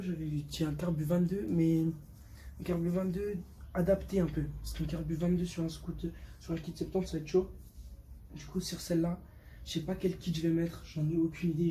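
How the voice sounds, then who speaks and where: A man's voice: fairly high pitch, warm tone. A fifteen-year-old boy from Portugal chatting casually to viewers in a vlog, slangy and repeating words for emphasis. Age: 15, teenager. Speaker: vlogger showing motorbike. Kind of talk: casual unscripted vlog talk. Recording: clear background noise.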